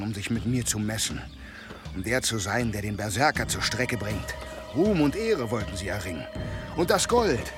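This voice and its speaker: An actor playing a weary, gruff former berserker warrior in a German audio drama, terse and grimly resigned. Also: male voice, mid-range voice, natural voice.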